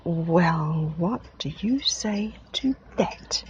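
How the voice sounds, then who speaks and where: A woman's voice: lowish voice, expressive, drawn-out words, muffled recording, slight background noise. A woman voicing a stubborn elderly great-grandfather farmer who bellows and roars. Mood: defiant. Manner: loud, bellowing, rustic.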